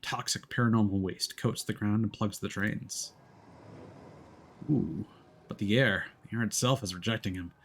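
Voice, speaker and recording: A man's voice: lowish voice, normal speed, fairly bright tone. A man streaming a game, narrating its story in a dramatic, theatrical manner.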